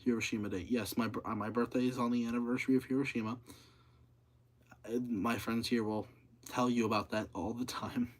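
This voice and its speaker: Monotone teenage guy rambling on stream. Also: medium pitch, brisk. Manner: rambling, repeats himself, many fillers. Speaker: livestreamer. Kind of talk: casual livestream chat.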